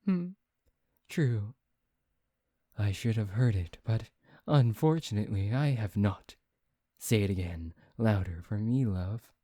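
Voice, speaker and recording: Man acting a deep, slow seductive vampire. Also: mellow, no echo, studio quality. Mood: playfully coaxing. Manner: slow, intimate, teasing, formal wording. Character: teasing, seductive male vampire toying with a captive hunter. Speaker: voice actor. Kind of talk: ASMR roleplay acting.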